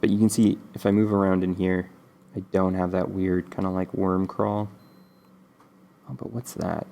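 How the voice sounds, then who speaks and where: Man, very low gravelly voice, congested from a cold; easygoing, rambling tutorial host. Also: normal speed, a little hiss or hum. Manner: relaxed, rambling with many ums. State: stuffy from a cold. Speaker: game development tutorial host. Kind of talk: casual screen-recorded coding tutorial.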